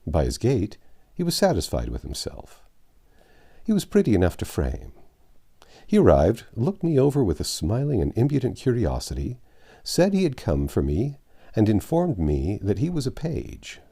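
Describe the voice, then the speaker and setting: A man reading a classic novel aloud as an expressive storybook narrator. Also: fairly low pitch, normal speed, husky. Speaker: audiobook narrator.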